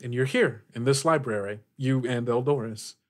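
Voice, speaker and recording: A man narrating a tabletop roleplaying game as game master, in a halting, conversational way. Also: medium pitch. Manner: halting, conversational, often saying "like".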